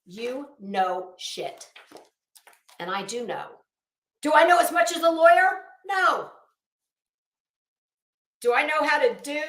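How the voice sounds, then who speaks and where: A woman's voice: sits high, a bit bright. A middle-aged woman giving an unscripted, rambling commentary rant in a sardonic, scornful, sweary manner. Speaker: online commentary vlogger. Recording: echoey room.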